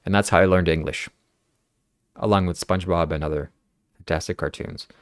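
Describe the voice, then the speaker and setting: Deep-voiced man with Ukrainian roots, earnest. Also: steady tone, brisk, clean tone, no echo, clean studio sound. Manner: earnest, reflective, reads viewer comments aloud. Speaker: podcast host. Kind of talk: casual livestream podcast chat.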